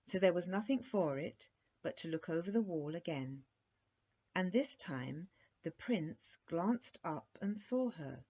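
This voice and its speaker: A woman reading a children's bedtime story aloud as an expressive storyteller. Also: lowish voice, breathy edge. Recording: dull, muffled lo-fi recording.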